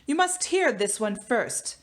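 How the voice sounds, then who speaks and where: A woman's voice: on the high side, big pitch swings, takes it slowly. A woman voicing a bold, fearless male knight from a storybook, declaiming his lines. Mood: bold, stalling.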